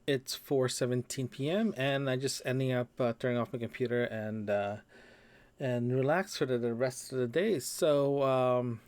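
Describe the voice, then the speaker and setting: A young man from Toronto keeps a casual audio diary, talking alone into a microphone. He rambles, stacks up ums and restarts, and repeats words while he searches for what to say. His voice sits at a middle pitch. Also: steady tone, normal speed, darkish tone. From Toronto, Canada. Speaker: podcast host. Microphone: single microphone.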